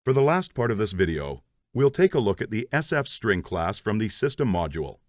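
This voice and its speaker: A man's voice: fairly low pitch, very animated, a little fast, no room sound. Man, methodical tutorial narration, dry close sound. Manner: methodical, explains step by step. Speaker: programming instructor.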